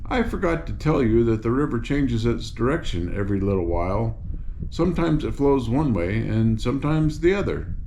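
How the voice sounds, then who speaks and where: A man's voice: mid-range voice, sing-song, normal speed, dark tone, a little hiss or hum, low-quality recording. An older man voicing a cheerful, lazy country man calling out breezily in a storybook. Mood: breezy, calling out. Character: cheerful lazy Quadling man hailing rafters from riverbank.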